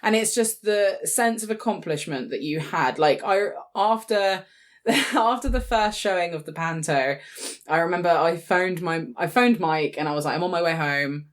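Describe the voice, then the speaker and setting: A woman's voice: fairly low pitch, normal speed. A British woman in her late twenties chatting casually as a podcast co-host, in a chatty, informal way. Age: late 20s. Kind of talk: casual chat.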